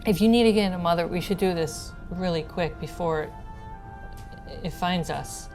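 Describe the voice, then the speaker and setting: Frightened young girl, played by a woman, halting and guarded, tabletop game, music behind. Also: lowish voice, natural voice. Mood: nervous, evasive. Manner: hesitant, halting. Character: frightened, guarded little girl of six or seven.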